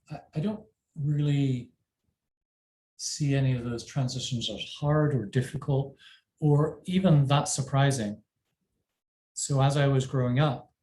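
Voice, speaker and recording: A middle-aged man with an English accent, a parent answering interview questions in a thoughtful, measured way. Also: medium pitch, dark tone. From England. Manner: thoughtful, measured, self-deprecating. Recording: roomy with faint ambient noise.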